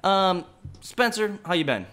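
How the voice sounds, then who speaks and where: A man hosting a podcast with a hoarse voice that sits a little high. He keeps things easygoing and fills his pauses with "uh" and "um.". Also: big pitch swings, fairly bright tone. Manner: laid-back, full of "uh" and "um" fillers. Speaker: podcast host. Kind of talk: casual podcast interview.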